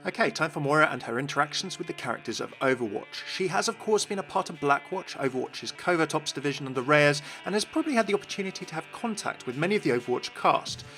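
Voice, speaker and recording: Bright-voiced man narrating game lore. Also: on the high side, lively intonation, fast, few pauses, faint music behind. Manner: enthusiastic, addresses viewers directly. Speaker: video host, game lore commentator. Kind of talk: scripted explainer narration.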